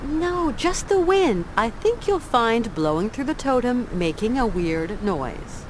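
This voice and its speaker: An actress playing a plucky, level-headed adventure heroine in a video game, with a natural, direct delivery. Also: female voice, a little high, big pitch swings, takes it slowly, a little hiss or hum. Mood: reassuring.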